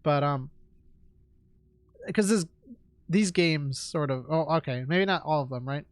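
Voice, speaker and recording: A young man streaming a game, talking in a halting, reflective way with lots of fillers, his nose stuffed up from congestion. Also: on the high side, clean tone, dry recording, clean studio sound. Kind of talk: casual rambling stream chat. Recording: game music loud behind voice. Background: game music.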